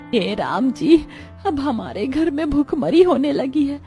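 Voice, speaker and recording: A distressed old grandmother from a kids' cartoon, played by a woman, with a mellow tone. She laments with heavy melodrama. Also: high voice, very animated, slow, faint music behind, exaggerated voice. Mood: dismayed. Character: distressed, lamenting elderly grandmother and mother-in-law. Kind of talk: children's cartoon dubbing, melodramatic.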